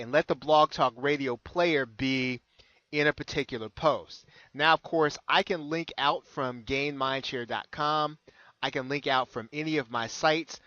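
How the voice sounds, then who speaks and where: An American man giving an unscripted screen-share tutorial as a consultant, halting and often repeating his phrases. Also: medium pitch, fairly bright tone, dry recording. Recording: dull lo-fi close recording.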